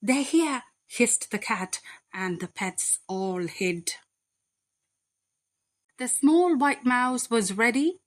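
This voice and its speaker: Slow-paced woman reading a bedtime story. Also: fairly high pitch, lively intonation. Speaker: children's story reader. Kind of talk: expressive rhyming bedtime read-aloud.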